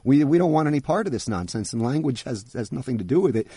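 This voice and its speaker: Middle-aged male interviewer, husky, animated pitch, dry close sound. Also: fast, dry recording. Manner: thoughtful, restarts phrases. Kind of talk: conversational interview.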